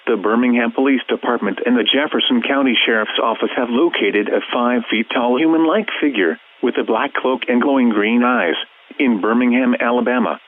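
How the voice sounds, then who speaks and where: A man's voice: medium pitch, fairly quick, slight background noise. Tinny synthetic American male alert announcer. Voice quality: synthetic text-to-speech voice. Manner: formal, impersonal, reading out clipped lists. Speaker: weather radio announcer. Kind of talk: scripted emergency weather alert announcement. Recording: muffled, phone-like weather radio broadcast.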